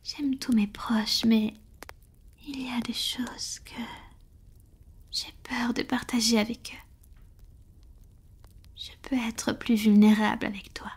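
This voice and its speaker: Girl doing a soft breathy vampire lover, whispery asmr roleplay, slow n tender, kinda high. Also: level tone, exaggerated voice. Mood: tender, wistful. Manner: slow, intimate, hesitant. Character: tender, vulnerable centuries-old female vampire lover.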